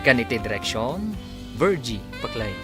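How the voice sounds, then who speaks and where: A man's voice: medium pitch, very animated, unhurried. A man with an Ilocano Filipino accent narrating and announcing a radio drama in a dramatic scripted style. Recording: music behind the voice. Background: music.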